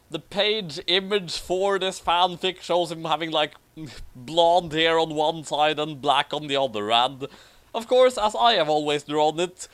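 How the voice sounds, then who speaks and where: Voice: mid-range voice, clean tone. A person reading a story aloud for comedy and chatting casually about it, often going back over flubbed lines. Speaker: fan fiction reader and commentator.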